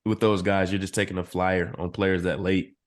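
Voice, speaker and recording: A man analyzing football draft picks as a podcast guest, his voice a little hoarse and clearing his throat now and then. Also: deep voice, normal speed. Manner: steady analytical explaining. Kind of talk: casual podcast interview.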